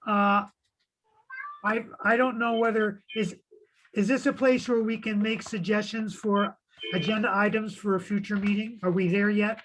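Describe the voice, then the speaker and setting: Man commissioner, high voice, halting and careful in a meeting. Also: little pitch movement, a bit bright, slight background noise, rough recording. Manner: hesitant, many restarts. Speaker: commissioner and clerk. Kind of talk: meeting discussion.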